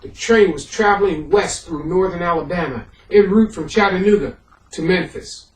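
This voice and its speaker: A man presents and reads a history book aloud in the role of a historian. His delivery moves between halting explanation and emphatic reading. He is recorded in a very echoey room, at a distance from the microphone. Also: high-pitched. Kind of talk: introduction and audiobook-style reading of a history book.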